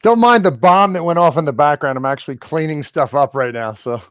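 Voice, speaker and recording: A man's voice: fairly high pitch, a little fast, a bit bright, no echo. A man speaking as a guest on a call, casually telling anecdotes and giving opinions in a rambling, conversational way. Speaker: guest, filmmaker and movie reviewer. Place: at home on an online call. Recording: dull lo-fi call audio.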